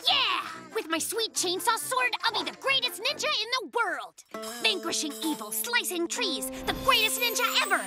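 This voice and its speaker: Cartoon bear cub: very high, swooping delivery, boastful and theatrical would-be ninja, over prominent music. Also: sing-song, normal speed, full character voice. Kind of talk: children's cartoon voice acting.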